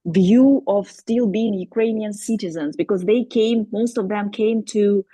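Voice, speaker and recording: A woman whose first language is Crimean Tatar, speaking up from the audience at a discussion, hesitant and pausing often with fillers as she searches for words. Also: medium pitch, warm tone, slightly rough, no echo. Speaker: audience member asking a question. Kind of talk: spontaneous discussion remarks.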